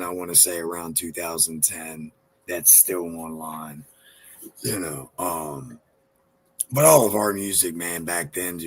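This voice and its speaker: A man in his thirties with a deep, husky voice, a rapper chatting in a casual interview, rambling and swearing with lots of "you know, like.". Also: fairly bright tone. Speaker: rapper guest.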